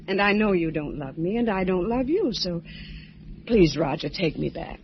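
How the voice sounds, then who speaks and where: A woman's voice: lowish voice, expressive, normal speed. An actress playing a lonely, troubled married woman in old-time radio drama, speaking earnestly and emotionally. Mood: sad resolve. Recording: muffled, faintly noisy vintage radio recording.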